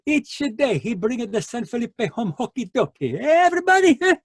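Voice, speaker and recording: Wildly animated, hot-tempered Italian fisherman. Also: extremely high pitch, normal speed, breathy edge, dry recording, clean studio sound, heavily acted. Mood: sarcastic, disgusted. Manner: theatrical, broken English. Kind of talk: old-time radio drama acting.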